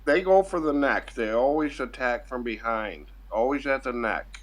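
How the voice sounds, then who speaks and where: A man's voice: mid-range voice, animated, normal speed. A man in a casual voice-chat discussion who chimes in with short, emphatic remarks. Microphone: computer mic in a voice chat.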